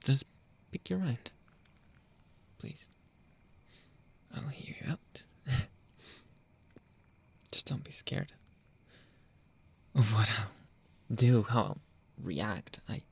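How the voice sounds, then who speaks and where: A man playing a jealous, controlling young boyfriend in an intimate ASMR roleplay, halting and pleading, often dropping toward a whisper. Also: mid-range voice, lively intonation, gravelly, husky, heavily acted. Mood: pleading, intense. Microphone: close, dry microphone.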